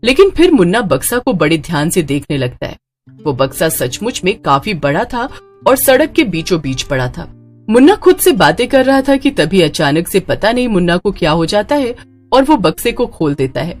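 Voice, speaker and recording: Lively, lowish-voiced woman storyteller. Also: normal speed, soft background music. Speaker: story narrator. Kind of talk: scripted dramatic story narration.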